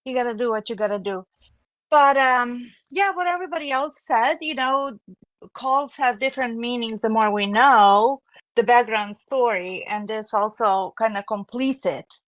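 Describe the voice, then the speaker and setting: A woman's voice: a little high, normal speed, a bit bright, no echo, poor audio. A woman speaking as a guest on a casual panel in an upbeat, emphatic way.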